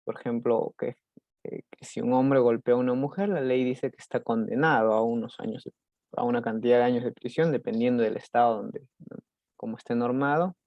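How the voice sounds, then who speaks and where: Halting Peruvian man with gravelly voice. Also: medium pitch, steady tone, no echo, lo-fi sound. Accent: Peruvian Spanish. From Peru. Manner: halting, many restarts and fillers. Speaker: student presenter. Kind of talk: recorded class presentation.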